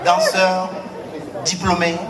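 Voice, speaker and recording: A man performing slam on stage, chanting and shouting theatrical refrains. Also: high voice, completely flat delivery, slow. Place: large indoor concert hall on stage. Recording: echoey live hall from far off. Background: loud live music and a cheering crowd.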